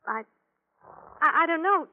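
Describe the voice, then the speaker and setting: An actress playing a shocked young female student witness in a 1950s radio drama, halting and near tears. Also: extremely high pitch, expressive, no room sound. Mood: shaken, distraught. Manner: halting, repeating herself. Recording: tinny vintage radio recording.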